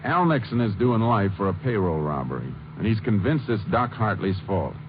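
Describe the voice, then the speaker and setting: Terse, assured Texas lawman, very low voice, old-radio narrow sound, 1950s drama. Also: very animated, a little fast, background noise. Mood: confident reasoning. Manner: terse, assured, matter-of-fact. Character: Texas Ranger, steady determined lawman. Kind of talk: 1950s radio drama acting and first-person narration. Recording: muffled 1950s radio broadcast, music behind.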